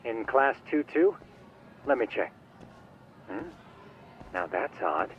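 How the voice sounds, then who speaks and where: A man playing a calm, geeky tech ally in an English video game dub, with a measured, dryly polite manner. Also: medium pitch, highs cut off, soft background music. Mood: calm, curious. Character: calm, geeky male tech ally coaching over comms.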